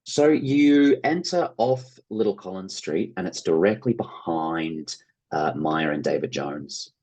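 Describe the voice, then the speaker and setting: A man's voice: low voice, expressive, a little slow, darkish tone. An Australian man speaking casually and enthusiastically as an interview guest, in an informal conversational way. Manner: enthusiastic, informal, acts out imagined reactions. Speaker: interview guest, actor and theatre producer.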